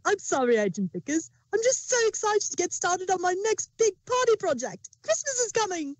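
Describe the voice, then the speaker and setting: Male performer as excitable female cartoon pony, very high-pitched, animated, gushing, breathless, storybook reading. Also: moderate pace, dry recording, low-quality recording. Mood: giddy excitement.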